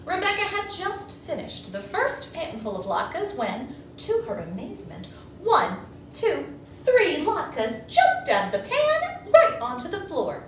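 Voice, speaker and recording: Female children's storyteller, dramatic read-aloud, high lively voice, heard from a distance in a roomy space. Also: a little slow. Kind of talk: expressive picture-book read-aloud. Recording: echoey room, distant mic, faint noise.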